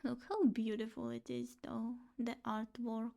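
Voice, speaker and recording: A woman's voice: fairly high pitch, little pitch movement, measured pace, gravelly, warm tone. A woman streamer giving casual, unscripted commentary while playing through a game.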